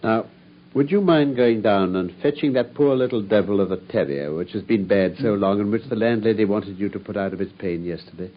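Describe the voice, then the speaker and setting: An actor playing a brilliant, self-assured English gentleman detective in a theatrical, precise mid-century radio drama style. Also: fairly low pitch, brisk, lightly acted. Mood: confident, commanding. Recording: muffled, faintly noisy old radio recording.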